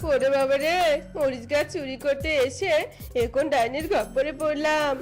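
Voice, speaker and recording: A jealous, scheming village woman from a cartoon fairy tale, acted by a woman. Her voice is high and her delivery is dramatic and complaining, over background music. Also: moderate pace, natural voice. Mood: terrified pleading.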